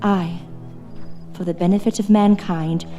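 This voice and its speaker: A woman's voice: on the low side, steady tone, unhurried, warm tone, close to an everyday voice. A woman playing an earnest, resolute heroine burdened with fighting evil in a theatrical horror audio drama. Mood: solemn resolve. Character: young woman burdened with banishing evil, frightened yet resolute. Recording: echoey long reverb over music.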